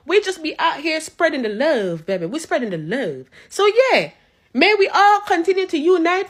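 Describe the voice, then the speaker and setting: A woman pleads casually, full of slang. Her voice sits high. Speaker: social media commenter. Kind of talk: casual appeal to viewers.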